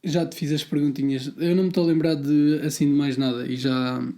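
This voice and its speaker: Man, laid-back podcast host, warm dark tone, loose rambling delivery. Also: fairly high pitch, fairly quick. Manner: relaxed, rambling, with filler words. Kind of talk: casual conversational interview.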